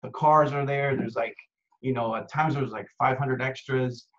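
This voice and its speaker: A man's voice: mid-range voice, fairly even intonation, moderate pace. Laid-back man from Costa Rica on a video call. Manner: laid-back, lots of "dude" and "man", many restarts. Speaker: actor, interview guest. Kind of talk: casual interview chat. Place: home room with window, indoors. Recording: dull lo-fi video call audio.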